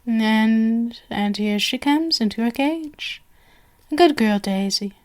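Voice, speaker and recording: Casting: actress as female stage magician for ASMR roleplay, high voice, unhurried delivery, flowery and coaxing, genial with a sinister undertow. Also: darkish tone, a little hiss or hum. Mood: playful, showy. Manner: flowery, theatrical, coaxing speech.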